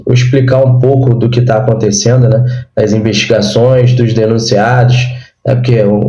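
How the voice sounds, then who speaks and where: A Brazilian man with a hoarse, sore throat, hosting a casual football commentary vlog in unhurried, chatty phrases. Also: medium pitch, mellow. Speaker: football channel host and radio announcer. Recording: roomy, dull lo-fi sound.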